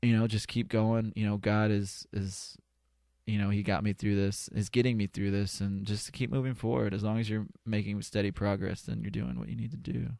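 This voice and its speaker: Male podcast guest, low creaky voice, earnest and halting, casual and unpolished delivery. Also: level tone, warm tone, no echo, studio quality. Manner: earnest, reflective, halting with repeated "you know". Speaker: interview guest, spoken-word poet. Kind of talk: casual, candid podcast conversation.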